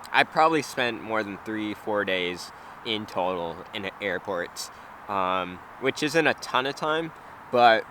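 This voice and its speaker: Young man, casual slangy vlogger, hesitant, bright edgy tone, faint street noise behind. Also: lowish voice. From United States (Wisconsin). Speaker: travel vlogger. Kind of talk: casual unscripted vlog chat.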